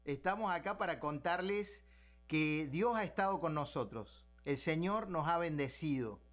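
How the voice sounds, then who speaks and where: Fairly high-voiced man interviewing. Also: lively intonation, unhurried, a bit bright. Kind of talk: semi-scripted religious interview with hesitations.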